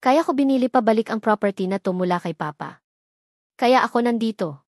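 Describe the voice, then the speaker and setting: A woman's voice: on the high side, animated, a little fast, darkish tone, dry recording, studio quality, lightly acted. A woman voicing a guilty, wealthy man in love in a story, pleading desperately.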